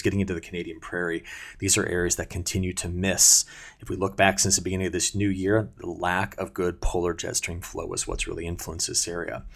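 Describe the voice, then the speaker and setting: Deep, gravelly man giving a weather briefing. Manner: conversational, unscripted, pointing things out. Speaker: weather forecaster. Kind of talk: explanatory weather briefing over maps.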